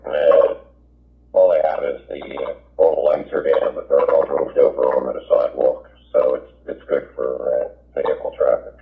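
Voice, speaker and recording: A man's voice: very deep voice, monotone, moderate pace. A man working as a police officer, reporting over police radio. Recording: tinny narrowband police scanner radio.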